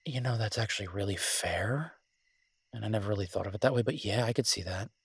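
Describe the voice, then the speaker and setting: Male actor as smitten werewolf man, low husky voice, tender, teasing, rambling, self-deprecating, intimate romance audio roleplay. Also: quick pace, dry recording. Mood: relaxed, affectionate.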